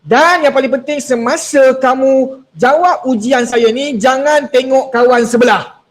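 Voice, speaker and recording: A man's voice: extremely high pitch, measured pace. A man leading an online exam-technique workshop over a video call, playful and teasing, slipping English words into his talk. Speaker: workshop speaker.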